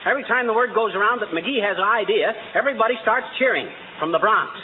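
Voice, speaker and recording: An actor playing a blustering, self-important middle-aged husband who grumbles and quips in a 1940s radio comedy. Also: male voice, high-pitched, fast talker, natural voice. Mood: indignant. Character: blustering, put-upon middle-aged husband with grand ideas. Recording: muffled, noisy vintage radio with audience.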